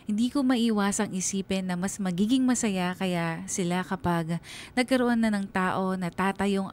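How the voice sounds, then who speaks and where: A woman's voice: medium pitch, lightly acted. A woman playing a reflective single mother who narrates her own story in a radio drama. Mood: tender, reflective. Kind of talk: dramatized first-person narration.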